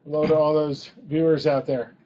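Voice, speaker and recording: A man's voice: fairly high pitch, flat delivery, moderate pace, warm tone, muffled recording. A man speaking as an interview guest and author, explaining things conversationally with emphatic repetition. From born in Ghana, grew up in US embassies in Southeast and East Asia.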